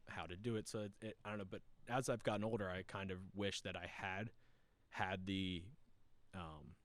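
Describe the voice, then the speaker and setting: Man, podcast guest, very low voice, dry close sound, rambling reflective chat. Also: fairly even intonation, normal speed, a bit bright, no room sound. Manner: rambling, reflective, many fillers. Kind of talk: casual chat.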